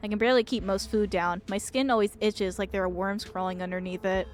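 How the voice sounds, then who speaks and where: A woman voicing a sickly, gloomy young male painter in a game, soft-spoken and resigned, speaking matter-of-factly about death. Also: mid-range voice, steady tone, fairly quick, soft background music, natural voice. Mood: morbid, calm.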